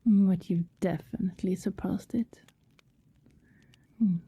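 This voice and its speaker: Breathy, whispering vampire woman played by an actress. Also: mid-range voice, steady tone, no echo. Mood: tender, pleased. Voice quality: soft, breathy, often whispered. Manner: slow, tender, hesitant murmuring. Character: affectionate, wistful female vampire neighbour, secretly predatory. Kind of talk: intimate ASMR roleplay, acted monologue.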